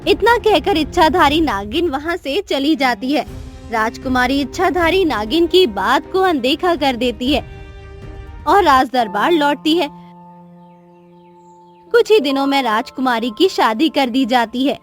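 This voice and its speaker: A woman narrating a cartoon story in a steady, clear storytelling manner. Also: sits high, unhurried, soft background music.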